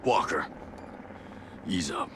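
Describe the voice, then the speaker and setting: An actor playing a steady, measured special forces soldier. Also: male voice, background noise, natural voice. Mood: calm. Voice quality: calm, measured. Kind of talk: video game cutscene acting.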